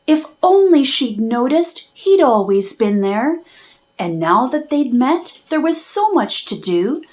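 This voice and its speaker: Animated, slow-paced woman reading a storybook. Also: high voice. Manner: measured, rhythmic rhyme reading. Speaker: children's book reader and host. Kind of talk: expressive read-aloud storybook narration.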